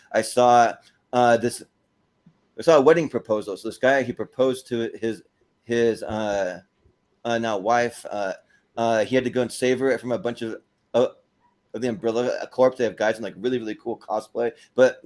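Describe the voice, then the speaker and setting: A man hosting a casual panel chat in a rambling, halting way, often repeating words. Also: medium pitch, darkish tone. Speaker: host of a panel show.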